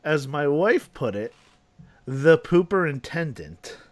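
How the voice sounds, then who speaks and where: A man from New Jersey hosting a loose, casual chat show. He talks at an unhurried, slow pace, rambling through fillers and restarts and laughing often. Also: a little high, big pitch swings, no echo. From New Jersey, USA. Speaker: podcast host.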